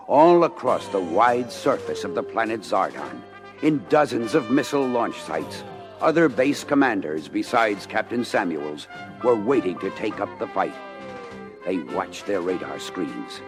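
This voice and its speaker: A man narrating a scripted adventure story in a dramatic storytelling style. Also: on the low side. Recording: music bed under voice. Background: music.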